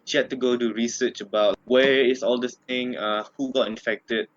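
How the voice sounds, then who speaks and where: A man's voice: medium pitch, steady tone, moderate pace, lo-fi sound. A young man with a Malaysian English accent, co-hosting a casual podcast chat and laughing often. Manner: giggly, laughs often between lines. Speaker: podcast co-host.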